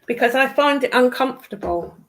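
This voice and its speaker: A British woman with a slightly high voice, talking casually. She is blunt and emphatic, and swears freely as she makes her point. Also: lively intonation, a little fast, a bit bright. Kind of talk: casual chat.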